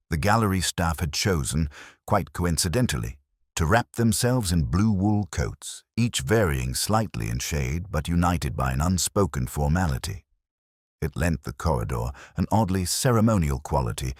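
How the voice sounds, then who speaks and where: A man reading a scripted first-person detective story as narrator, in a measured, solemn, literary manner. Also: a bass voice, husky, no echo, studio quality.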